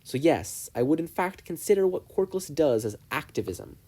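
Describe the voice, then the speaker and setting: A man voicing a stern but proud teacher in a fan fiction story, lecturing in a measured, stoic way. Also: a little high, lively intonation, darkish tone, voice pushed up. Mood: stony, firm.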